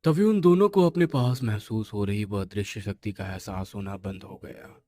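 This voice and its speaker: Casting: male horror storyteller, scripted read. Lowish mellow voice, dry recording. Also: moderate pace. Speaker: narrator.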